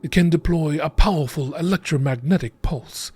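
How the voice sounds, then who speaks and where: A man reading scripted nature documentary narration in a measured, deliberate way. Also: on the high side, a little mellow, breathy edge.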